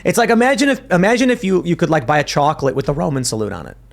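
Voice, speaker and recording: Man, podcast host, emphatic, animated, slightly high voice, restarts sentences. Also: rapid-fire, creaky. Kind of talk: casual panel talk.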